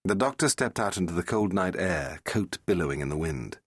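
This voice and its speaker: A man narrating a fiction audiobook in a measured, dramatic and suspenseful manner. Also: deep voice, lively intonation, slightly rough, dry recording, studio quality.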